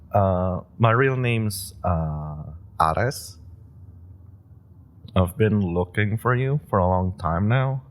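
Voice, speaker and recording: A man's voice: fairly low pitch, expressive, very slow. A man playing a nervous, eager young superhero rookie who rambles, stammers and laughs nervously. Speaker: audio roleplay performer. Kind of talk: audio roleplay drama.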